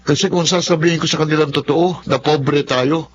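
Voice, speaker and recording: A man's voice: a little high, fairly even intonation, moderate pace, close to an everyday voice. An actor playing an insecure, pretentious young man in a Filipino radio drama, with hesitant, defensive delivery. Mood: bitter, defiant. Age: young adult. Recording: muffled lo-fi audio with background noise.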